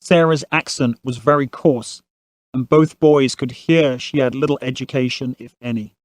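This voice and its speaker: A man's voice: mid-range voice, steady tone, normal speed, dry recording. A man narrating a family saga as a scripted audio drama storyteller.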